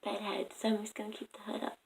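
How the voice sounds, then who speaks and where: A young woman vlogging at home on her phone camera, speaking softly in a raspy, half-whispered morning voice. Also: medium pitch, monotone, quick pace, noisy background. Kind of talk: casual advice vlog.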